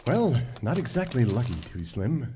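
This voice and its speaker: A man's voice: medium pitch, very animated, normal speed, dark tone, a little hiss or hum, lightly acted. A man playing a mock-heroic, wordy cowboy leader in a western radio comedy. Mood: explaining proudly. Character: earnest, heroic, know-it-all singing cowboy leader. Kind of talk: old-time western radio parody.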